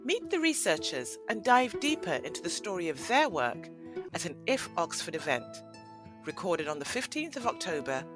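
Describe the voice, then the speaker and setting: Narrator: woman, lowish voice, animated and dramatic storytelling delivery over faint music. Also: moderate pace. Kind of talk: scripted storybook narration for audio play.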